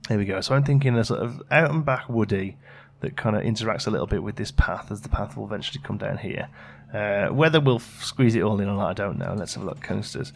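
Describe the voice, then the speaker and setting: Low-voiced man chatting through a game. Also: quick pace, creaky. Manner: chatty, thinking aloud as he plays. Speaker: gaming channel host. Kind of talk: casual let's play commentary.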